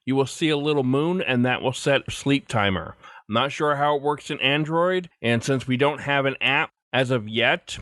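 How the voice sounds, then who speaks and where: A man's voice: mid-range voice, a bit bright, no echo, studio quality. A man hosting a podcast, talking directly to listeners as he goes through messages and show announcements. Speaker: podcast host.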